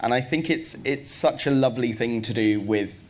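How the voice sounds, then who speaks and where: A man's voice: medium pitch, moderate pace, a little mellow. A young man speaking as a panel guest in an earnest, easygoing way with long run-on sentences. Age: young adult. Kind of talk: panel discussion.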